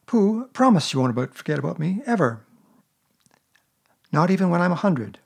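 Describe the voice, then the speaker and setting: A man voicing an earnest, dreamy little boy, pitching his husky voice up and raising it eagerly. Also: big pitch swings, normal speed, full character voice.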